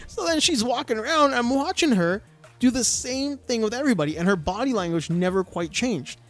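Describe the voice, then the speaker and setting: A man co-hosting a podcast, telling a story in casual chat, animated and full of fillers. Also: extremely high pitch, brisk, soft background music. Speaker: podcast co-host.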